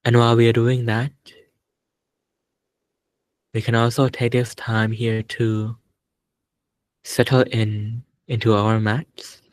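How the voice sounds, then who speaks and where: A man leading a guided meditation as a teacher, speaking calmly and without hurry, in short phrases with long pauses. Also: mid-range voice, no room sound.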